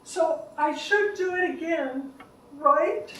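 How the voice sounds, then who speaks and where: A woman's voice: very high-pitched, slow pace, fairly bright tone, full character voice. A woman voicing an anxious, hesitant female caregiver who gushes and stumbles through her words in a live story. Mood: nervous, hopeful. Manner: hesitant, gushing, filler-laden. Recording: echoey room, distant mic.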